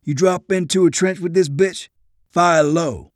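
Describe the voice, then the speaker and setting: A man's voice: high voice, steady tone, unhurried, no room sound, studio quality. A man voicing a folksy, confident army corporal in an audiobook war story, with a rural Southern American drawl and a colloquial, instructive way of talking. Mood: instructive, approving. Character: folksy, confident soldier corporal, good with guns.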